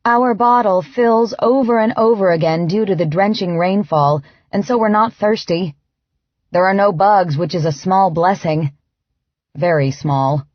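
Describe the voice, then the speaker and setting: A woman reading first-person fiction as an audiobook narrator, in an expressive, dramatized way. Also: mid-range voice, measured pace, dry recording, muffled recording.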